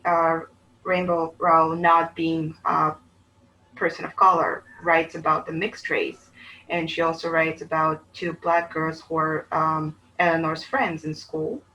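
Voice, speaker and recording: A woman from Bulgaria, co-hosting a casual book chat, talking in an animated, rambling way that often breaks off and restarts. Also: on the low side, slow pace, slight background noise, low-quality recording. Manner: chatty, animated, self-interrupting. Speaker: book channel co-host.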